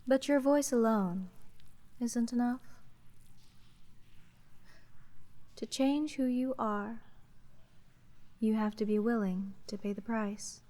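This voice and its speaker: Aloof sea witch for fantasy audio roleplay, played by a woman: very slow, soft dark-toned voice, businesslike and deadpan. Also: fairly high pitch, close to an everyday voice. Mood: coolly dismissive. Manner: monotone, measured, coolly formal. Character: aloof, businesslike female sea witch, dry-witted deal maker. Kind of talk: slow roleplay ASMR, scripted fantasy monologue.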